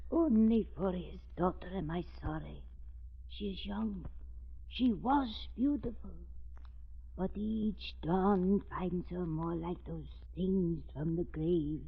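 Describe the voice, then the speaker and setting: A woman's voice: lowish voice, slow pace, creaky, breathy edge, exaggerated voice. An actress playing a superstitious, foreboding Croatian servant woman, grave and dramatic in 1930s radio drama style. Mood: grim. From Croatia, as the character. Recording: tinny, echoing old radio recording.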